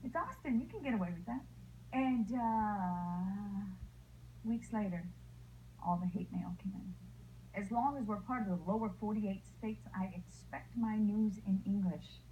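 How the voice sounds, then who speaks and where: A woman with a fairly high voice tells her own story with spirit and acts out the people she quotes. The recording is thin and phone-like, with faint hiss underneath. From El Paso, Texas. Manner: lively, acts out the voices of others. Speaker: former TV news anchor. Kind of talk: personal storytelling to camera.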